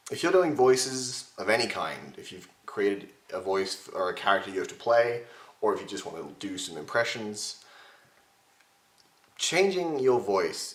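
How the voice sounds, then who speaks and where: Young man, lowish pitch, slightly gravelly from strain, chatty self-mocking webcam tutor, roomy home echo. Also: a bit bright. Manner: chatty, self-mocking, gestures with his hands. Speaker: voice impression tutor. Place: home room with shelves, indoors. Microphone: webcam at desk distance.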